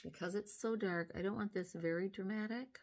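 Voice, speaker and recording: An older woman with a hoarse edge to her voice talks slowly and gently through each makeup step. Her pitch sits in a middling range for a woman. She is recorded close up on a phone in a small room at home. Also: steady tone, slight background noise. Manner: gentle, step-by-step explaining while applying makeup. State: hoarse from sinus trouble. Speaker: beauty vlogger. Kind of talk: makeup tutorial chat. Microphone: phone camera close up.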